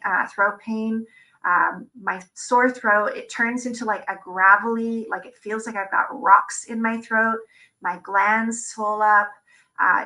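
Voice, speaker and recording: A woman talks through her slides at a conference, open and personal about her own experience. Her voice is bright and edged, with a lot of gravelly fry at the ends of phrases, and her pitch sits in an ordinary middle range. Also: rough recording. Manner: candid and personal. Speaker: conference presenter. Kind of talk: live slide presentation.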